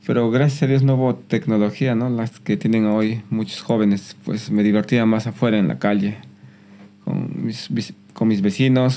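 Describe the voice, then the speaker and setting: A man, giving a personal testimony in a soft, reflective way with some hesitant restarts. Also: on the low side. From Peru. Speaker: guest.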